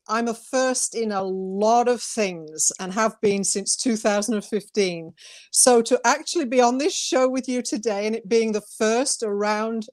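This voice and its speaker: A woman co-hosting an inspirational talk show in an effusive, expressive way. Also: on the high side.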